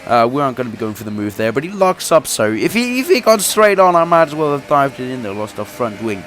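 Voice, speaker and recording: A man's voice: medium pitch, very animated, quick pace, fairly bright tone, soft background music. A young man who says he is sick, chatting through his racing game career race, laughing often and restarting his sentences. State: sick, voice a little off. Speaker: gaming YouTuber.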